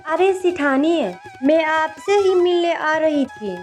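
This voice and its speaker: A woman playing a polite, agreeable neighbour in an animated moral story. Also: high voice, takes it slowly, soft background music. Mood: polite, requesting. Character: polite, good-natured village neighbour woman.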